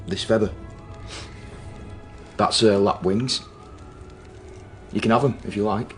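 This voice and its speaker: An actor playing a gruff, rough-spoken, awkward young farm lad in a radio drama, blunt and defensive. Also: male voice, lowish voice, fairly even intonation, takes it slowly, soft background music. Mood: shy, awkward. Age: young adult.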